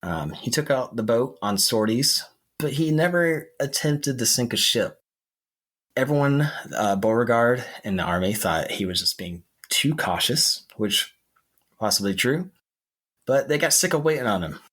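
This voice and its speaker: A man with a husky voice telling history in a conversational podcast style. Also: medium pitch, expressive, measured pace, a bit bright. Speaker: podcast co-host.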